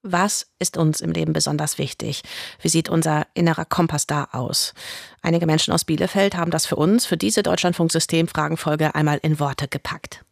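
A woman presenting a radio feature in a clear, explanatory way. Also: deep voice, fairly even intonation, normal speed, no room sound, studio quality.

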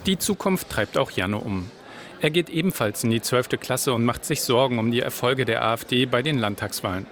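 Male reporter, scripted news voice-over, mid pitch, measured. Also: a bit bright.

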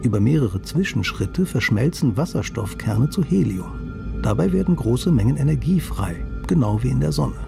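A man's voice: medium pitch, big pitch swings, normal speed, gravelly. A man delivering a scripted, dryly ironic radio science commentary. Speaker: science columnist. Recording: music bed under voice.

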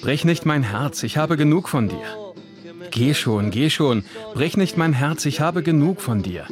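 A man reading a translation of song lyrics as a plain, slow voice-over. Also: on the high side, expressive. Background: loud guitar music.

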